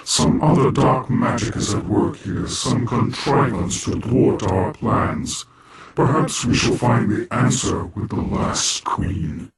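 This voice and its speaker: An actor playing a scheming aristocratic villain in a fantasy game, gravelly, measured and haughty, with dry formal diction. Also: male voice, mid-range voice. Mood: brooding, calculating. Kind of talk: theatrical fantasy game cutscene acting. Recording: very noisy game cutscene audio.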